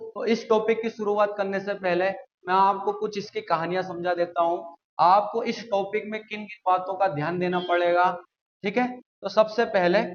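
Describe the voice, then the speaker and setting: A man's voice: sits high, a bit bright, highs cut off, slight background noise. A man teaching an exam-prep maths lesson, explaining step by step and answering his own questions. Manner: calls viewers "friends" often.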